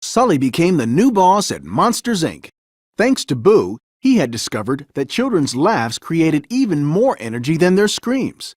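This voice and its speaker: A man narrates a children's storybook in an expressive, dramatic way. His fairly high voice swings freely up and down, and the sound is close and dry. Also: unhurried, dry recording. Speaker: storybook reader. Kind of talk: children's story read-aloud, scripted narration.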